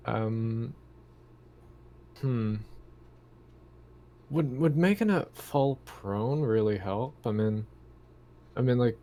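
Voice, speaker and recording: A man's voice: mid-range voice, mellow. A man playing a tabletop game online, chatting casually and musing aloud as he works out his moves.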